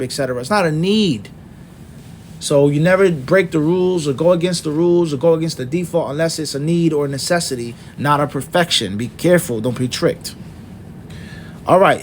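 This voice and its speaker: Emphatic male lecturer, higher voice. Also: a little hiss or hum. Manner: emphatic, lists points one by one with rhetorical questions. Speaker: Islamic teacher.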